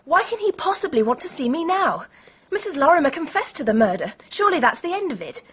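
A woman's voice: sits high, big pitch swings, quick pace. An actress playing an evasive, defensive young British woman in a radio drama. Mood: puzzled, defensive. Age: young adult.

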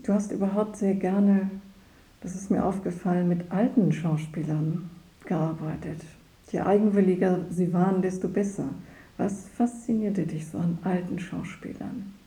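A woman's voice: on the low side, moderate pace, warm tone, reverberant, rough recording. A woman interviewing someone in a conversational way, putting observations and questions to her guest.

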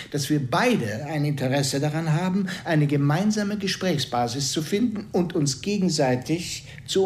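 An actor playing a smooth, scheming husband who argues in a legalistic way. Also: male voice, fairly high pitch. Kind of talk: radio play acting.

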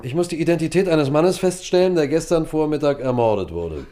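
An actor playing a polite, probing police sergeant in a German radio play, questioning in a measured, matter-of-fact way. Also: male voice, a little high, animated, dark tone, natural voice. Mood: official, probing. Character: courteous, probing London police sergeant investigating a murder.

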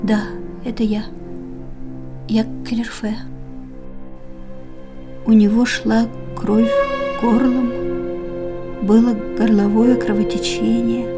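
A slow, sombre woman over music. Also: a little high, steady tone. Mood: grave, subdued. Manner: hushed, sombre. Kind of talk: slow literary radio play delivery. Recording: radio drama under loud ambient music.

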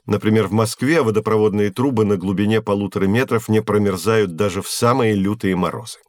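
Science teacher, played by a man for educational audio drama: low, patient, articulate, recorded dry. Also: steady tone, a little mellow, no room sound, lightly acted. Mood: explaining. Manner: measured, explanatory, articulate. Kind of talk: scripted educational audio play.

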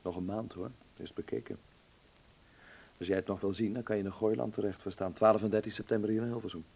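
A man's voice: on the low side, steady tone, quick pace, creaky, darkish tone, husky. A man in a Dutch radio play, acting a defiant but affectionate young stage performer with an earnest, theatrical manner. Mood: defensive. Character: defiant, affectionate young operetta actor living with his mother.

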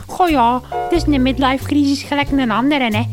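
A woman's voice: high voice, moderate pace, over music, lightly acted. A woman playing a chatty, simple village innkeeper's wife with a Flemish accent, rambling in folksy and mangled formal words for a comedy radio play. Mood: gossipy. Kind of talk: comedic radio drama acting.

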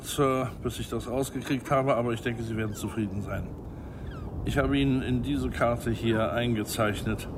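An actor playing a chatty, insinuating private investigator in a radio drama, deferential and sly in clipped remarks. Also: male voice, medium pitch, natural voice. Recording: clear outdoor ambience noise, birds, vehicles.

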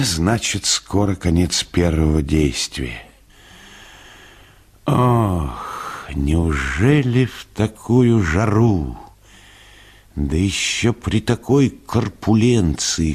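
A male actor voices an irritable, worn-out literary man grumbling inwardly in a radio story. The voice is low and very slow, muttering with exasperation. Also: a little mellow, husky, exaggerated voice. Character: weary irritable male writer, grumbling in his thoughts.

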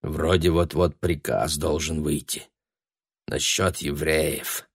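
A man's voice: mid-range voice, very animated, vocal fry, breathy edge, no echo, clean studio sound, pitched above the performer's own voice, full character voice. A man voicing an agitated shopkeeper and hustler in an audiobook, blustering and colloquial in manner. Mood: grave, hushed. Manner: blustering, quick to shout, colloquial.

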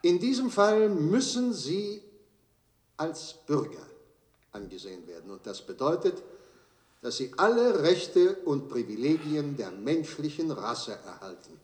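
Troubled judge: formal, solemn, strongly modulated delivery, echoing courtroom, radio drama. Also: male voice, a little high, very animated, a little mellow. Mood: grave. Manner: formal, grave, deliberate phrasing with emphatic swells. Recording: echoey courtroom broadcast sound.

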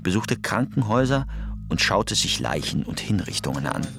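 A man's voice: fairly low pitch, sing-song, moderate pace, vocal fry, background noise. A man narrating a scripted documentary piece. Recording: music under the voice. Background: music.

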